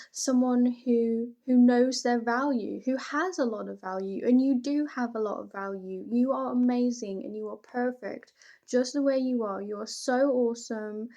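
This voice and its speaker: Female tarot reader, slightly high voice, earnest and reassuring, informal one-to-one delivery with firm emphasis. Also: darkish tone, lo-fi sound. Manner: informal, conversational phrasing. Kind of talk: intimate, encouraging tarot reading addressed to the listener.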